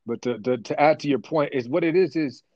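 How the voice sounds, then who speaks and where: A man being interviewed about his work as a voice actor, telling stories in a rambling, animated way with lots of restarts. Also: a little high, brisk, dry recording, muffled recording. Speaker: voice actor guest. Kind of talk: casual interview chat.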